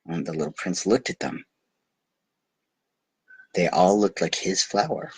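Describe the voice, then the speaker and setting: Very low-pitched man, unhurried storyteller. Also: animated, a little mellow, slightly rough, no room sound. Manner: halting, self-interrupting, amused asides. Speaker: bedtime story reader and storyteller. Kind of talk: reading and loosely translating a French storybook aloud. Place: recording himself on video.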